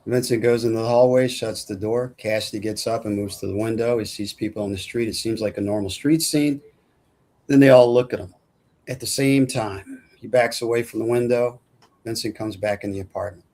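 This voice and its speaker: A man's voice: on the low side, brisk, a little mellow. A man narrating screenplay action lines at a film table read. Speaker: script narrator.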